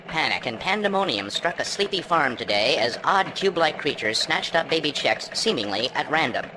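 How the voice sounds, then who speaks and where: An actor playing a melodramatic chicken news anchor in a game cutscene, with earnest mock-serious delivery. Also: male voice, fairly high pitch, sing-song, normal speed, noisy background. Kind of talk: parody newscast delivery. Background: music.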